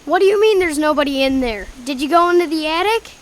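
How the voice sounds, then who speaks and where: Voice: sits high, lively intonation, fast, a little hiss or hum. A young performer playing a skeptical, blunt, sarcastic boy in a radio drama. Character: skeptical, wisecracking boy named Jimmy. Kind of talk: old-time radio drama acting.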